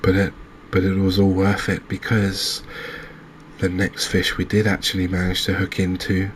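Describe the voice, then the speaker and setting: A soft-spoken man narrating a gaming recap in a calming, hesitant ASMR style. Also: sits low, normal speed, slight background noise. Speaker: host of a cozy gaming channel.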